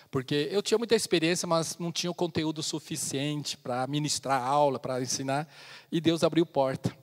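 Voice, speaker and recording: A man in his fifties from China, giving an earnest personal testimony as a missionary speaker in a church, with pauses and restarts. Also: fairly high pitch. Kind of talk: testimony sermon. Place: church sanctuary. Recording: long church hall reverb.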